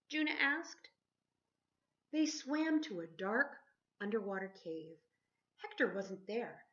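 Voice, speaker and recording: Animated woman reading a storybook aloud. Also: a little high. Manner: animated, pausing to ask listeners questions. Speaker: teacher reading a picture book aloud. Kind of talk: expressive children's storybook read-aloud. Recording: dull lo-fi sound.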